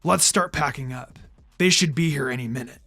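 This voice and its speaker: A man's voice: mid-range voice, moderate pace, bright, forceful tone, soft background music, pitched below the performer's own voice. A man voicing a blunt, protective teenage boy ninja with a curt, controlled manner in a story reading. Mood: resigned, practical.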